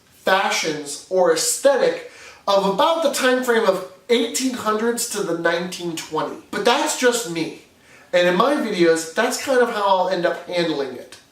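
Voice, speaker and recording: High-voiced New England man, echoey. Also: fairly bright tone, distant mic. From New Hampshire. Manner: earnest, conversational, with occasional fillers. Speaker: video host. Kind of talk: scripted explainer narration.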